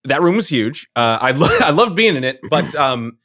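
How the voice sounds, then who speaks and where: A man's voice: fairly high pitch, very animated, moderate pace, fairly bright tone. Chatty laughing male interviewer. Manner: chatty, laughing, rambling questions. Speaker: podcast interviewer. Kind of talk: casual convention interview.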